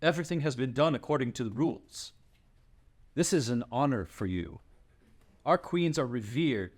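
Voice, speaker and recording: A man's voice: mid-range voice, animated, moderate pace, natural voice. A man playing a proud, grave dragon-shifter king in a screenplay table read, with a formal, stately delivery. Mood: insistent, formal.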